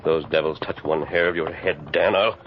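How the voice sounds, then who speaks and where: A man's voice: extremely low pitch, fairly even intonation, moderate pace, background noise. An actor playing a resolute masked western lawman in an old radio drama, urgent and commanding. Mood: grave. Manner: urgent, authoritative, clipped commands. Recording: dull lo-fi vintage radio recording.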